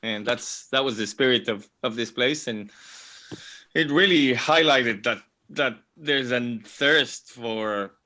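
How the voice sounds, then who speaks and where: Bright-voiced man talking on a video call. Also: medium pitch, slow. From Venezuela. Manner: thoughtful, many restarts and repeated words. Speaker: panel guest. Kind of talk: online panel talk.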